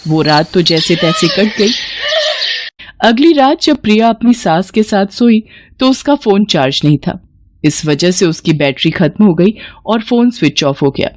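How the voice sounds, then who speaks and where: A woman's voice: medium pitch, expressive, measured pace, dry recording. Woman narrator with a dry, close sound. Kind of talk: scripted story narration.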